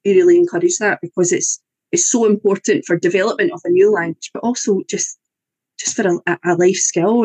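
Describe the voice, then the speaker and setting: A woman with a Scottish accent, an English-language teacher, walks parents through slides on a video call. Her voice sits at a middle pitch, and she talks in a halting way with many fillers and restarts. The sound is dry, with no room echo. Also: a little mellow, husky. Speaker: EAL teacher. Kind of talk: online presentation to parents.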